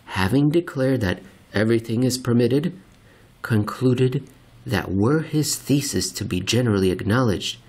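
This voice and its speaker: A man narrating a written essay aloud in a deliberate, theatrical way with pointed emphasis. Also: fairly low pitch, darkish tone, breathy edge. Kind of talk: scripted narration, dramatic essay reading.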